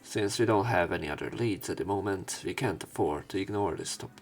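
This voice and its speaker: A man's voice: deep voice, fairly quick, faint music behind. A man reading a visual novel aloud for a let's play, breaking in with casual, sardonic commentary. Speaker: let's player.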